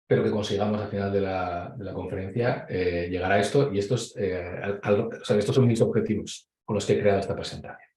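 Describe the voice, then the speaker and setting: A man with a Spain Spanish accent giving an online conference presentation, measured and explanatory, with frequent hesitations over a video call. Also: on the low side, fairly even intonation, a little mellow. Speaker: conference speaker. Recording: echoey room.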